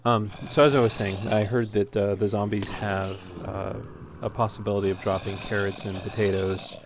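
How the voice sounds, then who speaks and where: A man's voice: lowish voice, level tone, normal speed. A man giving casual, unscripted gameplay commentary as a let's play host, talking in an easygoing, hesitant ramble. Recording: clearly noisy home recording.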